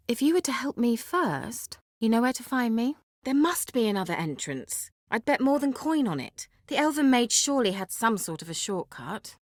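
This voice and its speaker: An actress voicing a haughty, witty, sly sorceress in a video game, with an arch, formal and sardonic way of talking. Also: female voice, on the high side, no echo, clean studio sound. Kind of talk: video game character dialogue.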